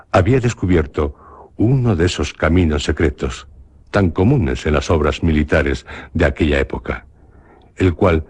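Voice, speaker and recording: A man with a Spanish accent narrating a radio drama in a measured, literary storytelling manner. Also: extremely low pitch, darkish tone, breathy edge. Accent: Spanish from Spain.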